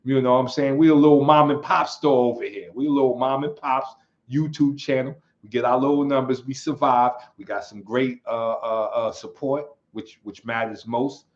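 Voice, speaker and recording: Man, livestream host, relaxed rambling chat, slightly dull lo-fi audio. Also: medium pitch, moderate pace. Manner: laid-back, rambling, laughs often, reads chat aloud. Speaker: channel host.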